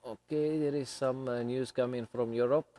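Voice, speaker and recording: A man with English as his third language, live-streaming his trading and talking through it slowly with many fillers at a home desk. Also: mid-range voice, fairly even intonation, warm tone. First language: not English. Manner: slow, hesitant, many fillers.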